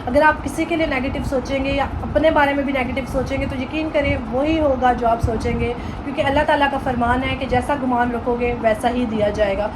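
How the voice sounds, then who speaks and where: A woman's voice: high voice, fairly even intonation, a little fast, few pauses, noisy background. A woman in her twenties with a Pakistani accent, chatting casually in a vlog and mixing in English phrases and playful Punjabi. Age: 20s. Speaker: vlogger.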